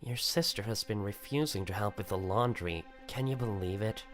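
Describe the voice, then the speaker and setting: A man plays a middle-aged mother in a visual novel, her voice lifted well above a man's low register. She is cloying and bossy, cooing and chiding over music. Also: normal speed, natural voice. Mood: doting, complaining. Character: doting, scolding middle-aged mother in denial.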